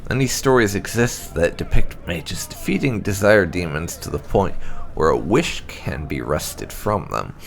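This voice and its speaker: A man's voice: low voice, lively intonation, a little slow, gravelly, slight background noise. A man reading game lore entries aloud as scripted narration. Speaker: gaming channel host.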